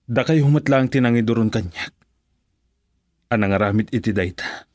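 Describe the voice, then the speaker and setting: A man's voice: mid-range voice, moderate pace, dry recording, clean studio sound, close to an everyday voice. A man with a Filipino accent acting a contrite young suitor in a radio drama, subdued and pleading. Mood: remorseful.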